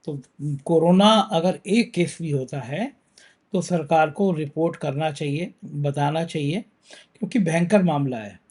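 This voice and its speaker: A man's voice: fairly high pitch, unhurried, a little mellow, husky. A man hosting a political news talk show, talking with a sore throat in a conversational, emphatic way.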